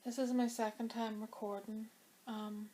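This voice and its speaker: Woman knitting vlogger with a slightly high, flat delivery. Dry throat from a cold. Chatty and meandering. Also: a little slow, a little hiss or hum. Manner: chatty and rambling, with many fillers. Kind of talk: casual chat to camera.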